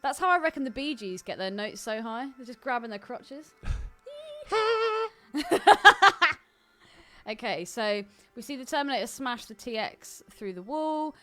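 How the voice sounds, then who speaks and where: A woman's voice: on the high side, big pitch swings, measured pace, long pauses, a bit bright, soft background music. A woman with a British accent co-hosting a casual comedic podcast, talking in a blunt, cheeky way. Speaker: podcast co-host.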